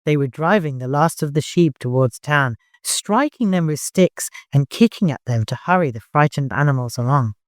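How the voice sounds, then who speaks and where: An audiobook narrator reads literary fiction in a medium-pitched voice. The sound is dry, with no room around it. The delivery is scripted storytelling. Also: animated, normal speed, few pauses, no echo.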